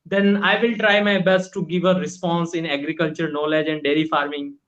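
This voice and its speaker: High-voiced polite young Indian man. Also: steady tone, a little fast, a bit bright, lo-fi sound. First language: Hindi. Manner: polite, eager, addresses teacher as ma'am. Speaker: English learner. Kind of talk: online language lesson chat. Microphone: video call.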